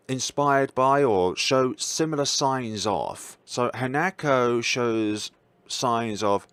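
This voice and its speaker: A man's voice: on the low side, fairly bright tone, smooth, no room sound. A man hosting a visual novel playthrough, reading the narration expressively and adding reflective commentary. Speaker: gaming video host.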